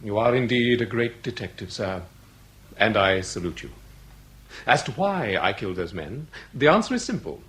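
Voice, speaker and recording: A man's voice: fairly low pitch, very animated, normal speed, a bit bright. A man acting a proud, cold-blooded aristocratic hunter villain in a period radio melodrama, declaiming in florid formal phrases. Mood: grandiose confession. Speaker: actor.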